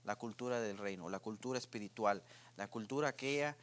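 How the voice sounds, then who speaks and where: A man from Guatemala hosting a youth faith podcast, talking through ideas with many pauses and restarts. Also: lowish voice. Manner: hesitant, many fillers and restarts. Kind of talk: casual faith discussion.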